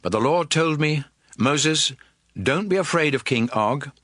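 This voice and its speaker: A man narrating scripture as a dramatized audio Bible, with a measured, expressive storytelling delivery. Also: fairly high pitch, no room sound, studio quality.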